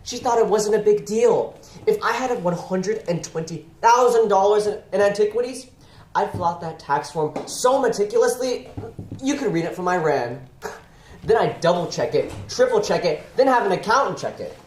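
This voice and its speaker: A woman's voice: mid-range voice, animated, moderate pace, a little mellow, natural voice. A woman student actor playing a scornful, argumentative loan shark in a stage play, with an emphatic, sardonic delivery. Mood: incredulous, mocking. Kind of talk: stage play acting in a one-act play. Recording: echoey theater room, faint noise.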